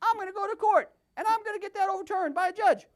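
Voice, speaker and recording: A very high-voiced puppet sheriff played by a man, indignant and stubborn. He blusters and protests in exaggerated comic fashion. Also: fast talker. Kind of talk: comic puppet show acting.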